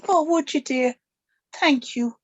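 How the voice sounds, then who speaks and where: Older female role for an actress: secretive grandmother, soft but firm, high voice, dull lo-fi recording. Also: slow pace. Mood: gentle, fond. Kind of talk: scripted table-read acting.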